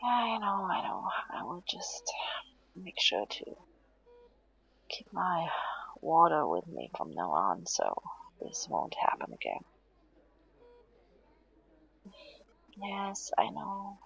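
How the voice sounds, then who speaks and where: Woman doing a tired weak patient gal for audio rp, slow halting reassurance, bit muffled recording. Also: fairly low pitch, wildly swooping pitch, fairly bright tone, heavily acted. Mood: weary, sheepish. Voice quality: breathy, weak, husky. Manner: halting, hesitant, soothing, downplaying. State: tired, drained. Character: weary heat-exhausted female patient reassuring a worried partner. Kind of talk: intimate audio roleplay, one side of conversation.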